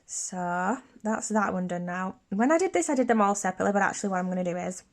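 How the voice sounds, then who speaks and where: A woman's voice: medium pitch, expressive, fast. Chatty woman with a sniffly cold. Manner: chatty, bubbly, restarts mid-sentence. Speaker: beauty YouTuber. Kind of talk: casual nail tutorial vlog. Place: bedroom filming set, indoors.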